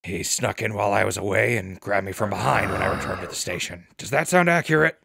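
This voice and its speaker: A man playing a wry wasteland radio host in an audio drama, dry in manner, with a gravelly voice. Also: on the low side, fast talker, sharp tone, full character voice. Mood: sardonic, wary.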